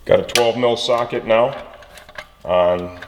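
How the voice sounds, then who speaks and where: A man presenting a hands-on mechanical how-to, instructive and often checking in with a quick "okay". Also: mid-range voice, in a large hall, noisy background.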